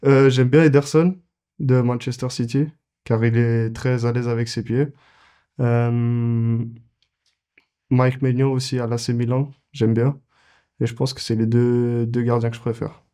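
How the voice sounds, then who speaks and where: A man's voice: mid-range voice, flat delivery, measured pace, warm tone, smooth. A man in his twenties, a goalkeeper answering interview questions casually, with many fillers and restarts. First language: French. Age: 20s.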